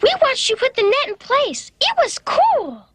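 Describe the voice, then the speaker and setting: A woman's voice: very high voice, wildly swooping pitch, measured pace, a bit bright, a little hiss or hum, full character voice. A woman voicing an eager little girl in a children's cartoon, explaining things earnestly. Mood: upbeat.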